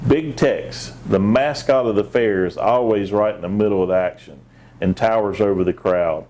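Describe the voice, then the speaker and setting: A man's voice: on the low side, moderate pace, dark tone. A man reading a children's picture book aloud, with short asides of his own. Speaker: assistant police chief, guest storybook reader.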